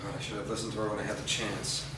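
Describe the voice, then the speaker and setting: A man's voice: lowish voice, flat delivery, racing, lightly acted. A man playing a weary, regretful father in a staged reading. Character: weary, regretful father clinging to a lost cause. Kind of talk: staged reading of a drama script. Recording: noisy, very echoey hall from far off.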